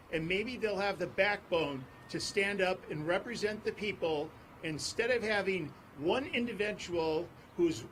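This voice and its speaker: A man speaking at an outdoor press conference on court steps, in a forceful, repetitive rally cadence. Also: high voice, slight background noise. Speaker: advocacy group speaker. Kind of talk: impassioned press conference speech.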